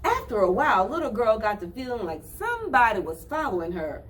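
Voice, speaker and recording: High, animated woman storyteller. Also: recorded from a distance, slight background noise. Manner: addresses listeners with questions, deliberate phrasing. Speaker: children's storyteller. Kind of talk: animated storytime narration for young children.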